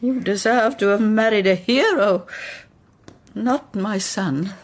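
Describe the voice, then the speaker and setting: A woman's voice: medium pitch, takes it slowly. An actress playing a protective, scornful older mother-in-law in a period radio drama, with a British accent and an emphatic manner. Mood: stern, exasperated. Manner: emphatic, imperious.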